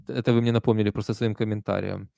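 A man's voice: fairly low pitch, level tone, rapid-fire, creaky, no echo, studio quality. A man in his thirties from Ukraine with a Kyiv accent, chatting emphatically on a live stream while reading out viewer comments. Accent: Kyiv Russian. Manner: conversational, emphatic, soft-spoken asides. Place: small room indoors. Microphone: desk stand mic close up.

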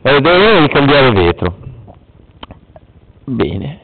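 A young man jokingly hosting a mock interview in a late-night home video, laughing often and putting on an announcer tone. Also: mid-range voice. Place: room at night. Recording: tinny lo-fi home video with loud hiss.

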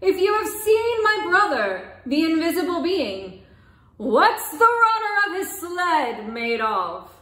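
Storybook part: a woman reading as the wise sister of a spirit, gentle and searching, slow and high, in an echoey room. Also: very animated, heavily acted. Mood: delighted. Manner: gentle questioning.

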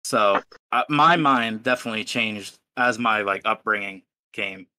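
A blunt, profane man in his early twenties talks casually on a podcast. His voice is lowish but bright and forceful, recorded dry and close. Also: measured pace, no room sound. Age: 20s. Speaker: podcast host. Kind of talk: casual chat.

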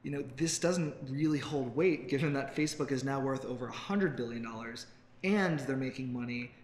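A man's voice: mid-range voice, normal speed. An American man in his twenties co-hosting a casual tech news chat, explaining things in an informal way. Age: 20s. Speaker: co-host reading tech news stories. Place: busy open-plan office, seated on a couch. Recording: roomy open office, faint noise.

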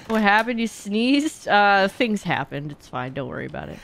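Animated young woman streaming a game. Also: mid-range voice, moderate pace, gravelly, slight background noise. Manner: reacts loudly, swears casually. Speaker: livestream host and speedrunner. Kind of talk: casual gaming stream commentary. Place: indoors, gaming room at home.

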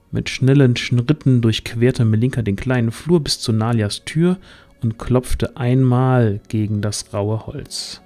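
A man's voice: medium pitch, warm tone. A man narrating a scripted fantasy audio drama as the storyteller.

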